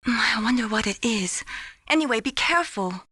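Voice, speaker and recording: A woman voicing an earnest, caring young mission support analyst in a video game, encouraging and gently solicitous. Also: on the high side, animated, moderate pace, breathy edge, no echo, studio quality, exaggerated voice. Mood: explanatory.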